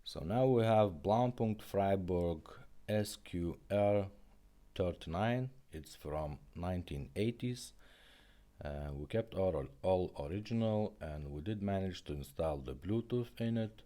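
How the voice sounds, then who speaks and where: Low-voiced man demonstrating gear. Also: lively intonation, measured pace, warm tone. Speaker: car radio restorer demonstrating a product. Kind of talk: casual product demonstration.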